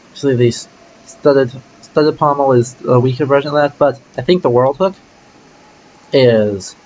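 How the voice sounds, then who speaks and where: A man's voice: medium pitch, a little mellow, muffled recording, slight background noise. A man streaming a game, musing aloud casually about his choices as he plays. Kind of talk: let's play commentary.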